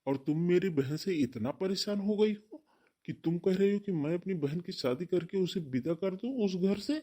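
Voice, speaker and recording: A man's voice: sits high, moderate pace, mellow. A man voicing an earnest, devoted young husband in a cartoon family drama, with a pleading manner. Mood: pleading, hurt.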